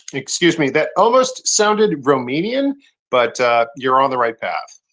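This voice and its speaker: Man, bright forceful voice, wry, mock-formal jokes. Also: mid-range voice, very animated, slow. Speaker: speaker on a live audio space. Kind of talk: casual chat.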